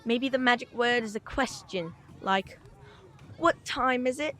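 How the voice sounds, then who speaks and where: A woman's voice: on the high side, measured pace, a bit bright, a little hiss or hum. A woman voicing a chatty, cheeky little British boy in an audio drama, with a frank, rambling, childlike manner. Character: chatty, cheeky, earnest little boy who loves comics.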